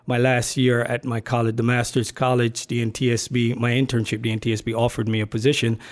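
A middle-aged man from Barbados talks through his career in an even, flat tone. He pauses often, with fillers and restarts, sounding relaxed and unscripted. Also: medium pitch. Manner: hesitant, many fillers and restarts. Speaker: agency communications staffer, podcast guest. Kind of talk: casual podcast chat.

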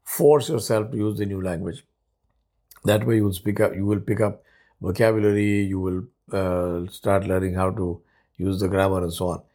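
A man in his seventies from India, whose first language is Urdu, hosting a solo podcast in a thoughtful, unhurried way with many pauses. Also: deep voice, fairly even intonation, warm tone. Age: 70s. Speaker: podcast host. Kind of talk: reflective solo podcast monologue.

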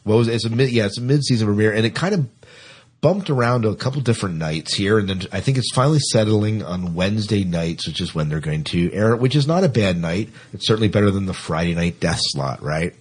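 A man hosting a casual podcast, chatting in a halting way and repeating words as he thinks. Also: fairly low pitch, expressive, husky. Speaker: podcast host; teacher. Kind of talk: casual co-hosted podcast chat.

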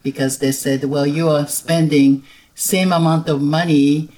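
A middle-aged woman from Japan speaking English, talking as a guest in a casual interview, soft-spoken and hesitant, with many fillers. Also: low-pitched, steady tone, unhurried, rough recording. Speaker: guest, winery owner.

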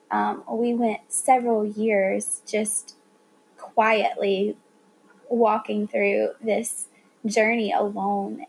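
Southern lady in her 30s, kinda high voice with some fry, sincere storytelling, faint hiss behind. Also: a little mellow, poor audio. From South Carolina. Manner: earnest, heartfelt storytelling. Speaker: guest. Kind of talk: casual conversation.

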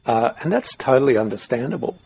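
Male interviewer, Australian, middle-aged, gravelly and husky, thoughtful and rambling in conversation. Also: medium pitch, lively intonation, fast talker, darkish tone. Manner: rambling, reflective questioning.

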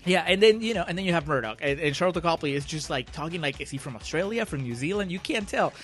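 A man's voice: fairly high pitch, animated, quick pace, fairly bright tone, faint music behind. A man with a Peruvian accent, co-hosting a casual film debate podcast in a sarcastic, emphatic, argumentative way. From Peru. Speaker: podcast co-host.

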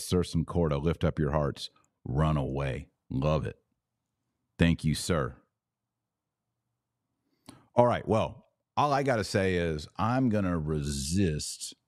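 A man with a very low voice hosts a casual podcast. He talks in a sarcastic, animated way. The sound is dry and close, with no room in it. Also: no echo. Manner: animated, sarcastic, jumps to high incredulous exclamations; slow deliberate Latin prayer recitation. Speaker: podcast host.

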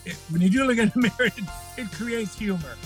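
A man's voice: high voice, animated, fast talker, faint music behind. An older man with a Texan accent, a former TV news anchor telling anecdotes and laughing as a podcast guest. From Texas. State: slightly changed voice from breathing trouble. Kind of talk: casual interview.

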